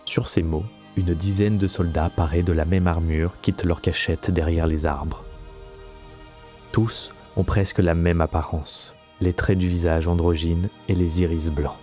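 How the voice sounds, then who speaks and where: A man's voice: low voice, steady tone, unhurried, warm tone. A man narrating a scripted fantasy audio story as a storyteller. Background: faint music.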